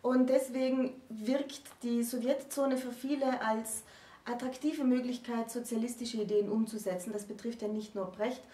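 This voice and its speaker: A woman's voice: fairly high pitch, steady tone, moderate pace, mellow. A woman in her thirties hosting a conversational educational discussion, explaining articulately and posing questions. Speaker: host presenting a literature discussion. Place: small book-lined room, indoors. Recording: roomy sound, distant microphone.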